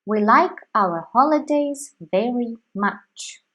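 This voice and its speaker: A woman teaching a language lesson, saying each word separately with long pauses. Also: a little high, animated, a bit bright. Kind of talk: slow, deliberate language lesson.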